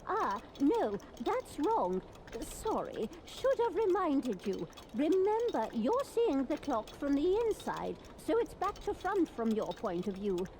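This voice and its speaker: A woman voicing a slightly deaf nun clockmaker in a video game, distracted, gentle and apologetic as she works. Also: sits high, big pitch swings, takes it slowly, a little hiss or hum, heavily acted. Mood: busy, distracted. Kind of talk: video game character dialogue.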